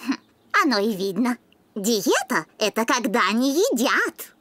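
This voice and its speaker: A woman's voice: on the high side, sing-song, a little slow, noisy background. A woman voicing a bossy, sarcastic little dog girl in a cartoon, snippy in manner.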